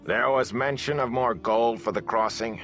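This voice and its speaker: A man's voice: mid-range voice, moderate pace, a bit bright, faint music behind, voice pushed up, lightly acted. A man voicing a sullen, sly and greedy ferryman in a fantasy audiobook, with a grudging, rustic, defensive manner. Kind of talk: audiobook character acting.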